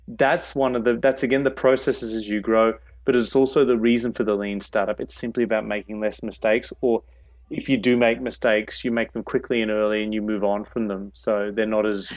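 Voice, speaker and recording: A man being interviewed on a podcast, talking enthusiastically and restarting words as he goes. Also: fairly low pitch. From Australia. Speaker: guest, innovation expert. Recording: dull lo-fi sound.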